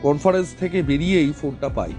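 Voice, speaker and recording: A man's voice: on the high side, quick pace, warm tone, faint music behind, close to an everyday voice. An actor playing a worried middle-aged scientist and family man in an audio drama, recounting things earnestly and carefully.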